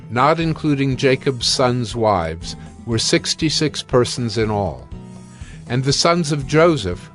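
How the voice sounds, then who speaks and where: A male narrator at a middle pitch tells the scripture story in a steady, even way. Each clause is paced carefully.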